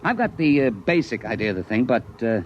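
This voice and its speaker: An actor playing a cocky American army private with a sardonic, slangy manner in 1950s radio drama style. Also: male voice, medium pitch, sing-song, brisk, lightly acted. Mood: eager, explaining. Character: cocky wisecracking army private, science-fiction writer turned schemer. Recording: muffled 1950s radio recording, faint noise.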